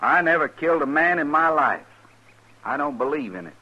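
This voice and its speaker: A man playing a proud, rough but honest cowboy, plain-spoken and earnest, in a 1950s radio western. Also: fairly high pitch, animated, normal speed. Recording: dull 1950s radio recording.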